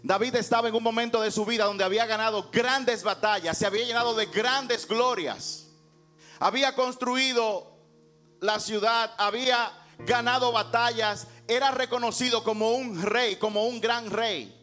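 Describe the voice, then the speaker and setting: A man's voice: extremely high pitch, steady tone, moderate pace, bright, forceful tone, soft background music. A male pastor preaching an emphatic sermon in a rhetorical way, acting out dialogue from scripture.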